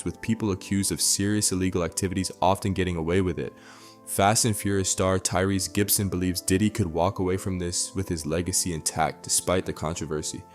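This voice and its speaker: A man with a low voice narrates scripted celebrity news. His delivery is measured and dramatic, with soft music playing underneath. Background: background music.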